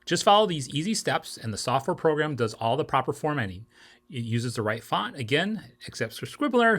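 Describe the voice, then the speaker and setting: A man in his forties hosting an informal explainer tutorial, with a halting delivery that often stumbles and corrects itself. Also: medium pitch, fairly bright tone. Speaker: video tutorial host. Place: small home office with bookshelves.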